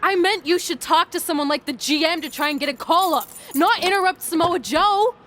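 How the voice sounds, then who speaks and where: A woman's voice: very high voice, brisk, fairly bright tone, slight background noise. A woman playing a blunt, sarcastic young female wrestler in a game cutscene, exasperated and no-nonsense.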